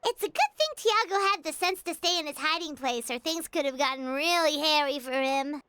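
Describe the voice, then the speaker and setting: A woman's voice: sits high, fairly quick, no room sound. A woman voicing a small, cheerful, confident childlike fairy companion in a video game, chatting casually and expressively. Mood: chatty, curious. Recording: loud game music behind.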